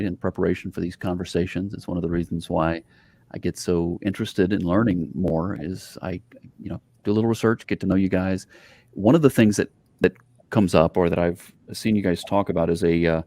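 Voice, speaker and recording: A man with a deep voice hosts a podcast interview. His delivery is conversational, and the recording is dry and close, free of room sound. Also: fairly even intonation, moderate pace, mellow, dry recording.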